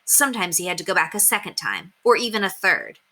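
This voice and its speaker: Woman, storybook narration, bright tone, slightly low pitch, expressive read-aloud delivery. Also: fast talker. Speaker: storyteller reading a children's book aloud. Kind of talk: expressive scripted narration with character voices.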